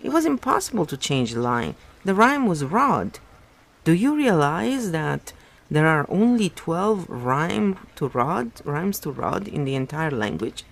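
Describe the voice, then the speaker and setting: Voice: medium pitch, sing-song, unhurried, gravelly, pitched above the performer's own voice, exaggerated voice. A reader voicing a mild, distracted, pedantic middle-aged male poet held in a prison cell. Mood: vague. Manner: mild, distracted, fussily precise. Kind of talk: audiobook-style reading aloud.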